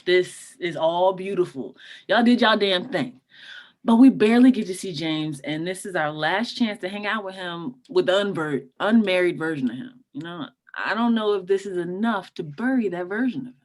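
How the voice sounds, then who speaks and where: A woman's voice: on the low side, moderate pace, natural voice. A woman acting in a table read as a blunt, protective, skeptical friend of the groom, talking in direct, casual slang. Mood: wry, pushy.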